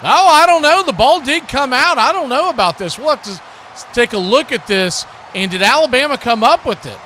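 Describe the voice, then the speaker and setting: A man's voice: very high voice, animated, fairly quick, bright, forceful tone, a little hiss or hum. A man doing live football play-by-play, mixing clipped calls with folksy, chatty asides. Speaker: play-by-play announcer and stream host.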